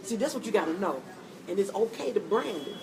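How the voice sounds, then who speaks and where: A woman hosting an interview in a coffee shop, animated and preachy, encouraging her guest. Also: mid-range voice, fairly quick, background noise. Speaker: host. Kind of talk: interview chat. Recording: distant mic in busy cafe. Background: cafe crowd chatter.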